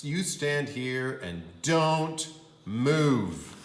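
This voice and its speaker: Exasperated storybook dad, performed by a man: scolding, yelling, slow and emphatic, very animated. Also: medium pitch, echoey room, voice pushed up. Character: exasperated yelling father, alarmed by his daughter's junk food.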